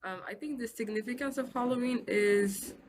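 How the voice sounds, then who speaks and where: A young woman student giving chatty, lively interview answers. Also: on the high side, moderate pace, noisy background. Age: young adult.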